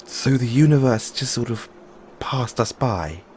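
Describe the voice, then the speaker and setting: A man playing a nervous, geeky mad scientist boyfriend in an audio roleplay, explaining things haltingly to his partner. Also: medium pitch, lively intonation, darkish tone, slight background noise, close to an everyday voice. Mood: eager explaining. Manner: hesitant, stammering, explaining at length. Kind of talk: audio roleplay acting, addressing the listener as partner.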